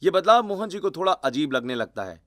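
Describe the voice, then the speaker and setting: A man's voice: fairly high pitch, very animated, fairly quick, a bit bright, slight background noise. A man reading scripted narration for a cartoon family story. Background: background music.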